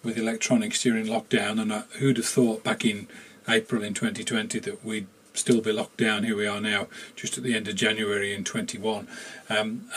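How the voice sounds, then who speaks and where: Middle-aged male hobbyist presenter, a little husky, unscripted and understated, casual demonstration delivery. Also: on the low side. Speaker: electronics hobbyist host. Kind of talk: casual demonstration and chat to camera. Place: small home workshop indoors.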